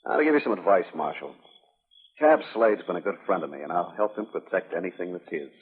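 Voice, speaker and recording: Very low, husky, menacing rancher. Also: male voice, big pitch swings, heavily acted. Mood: stern warning. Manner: deliberate, threatening. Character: hard, menacing rancher guarding his friend's claim. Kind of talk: 1950s radio western acting. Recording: muffled, band-limited old radio broadcast.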